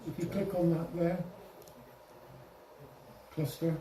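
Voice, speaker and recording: A man giving an informal software demonstration to a group, explaining step by step with some hesitation and self-correction. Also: on the high side, flat delivery, takes it slowly, crowd noise. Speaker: presenter at an amateur radio club. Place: indoor club meeting room. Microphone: distant room microphone. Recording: echoey room, distant mic, faint noise.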